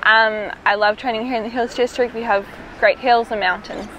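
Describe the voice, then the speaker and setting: A woman in her mid-twenties introduces herself simply and cheerfully. Her voice is bright and fairly high. Faint outdoor noise sits behind her. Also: fairly even intonation, moderate pace, poor audio. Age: 20s. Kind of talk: brief self-introduction. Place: outdoors beside a swimming pool.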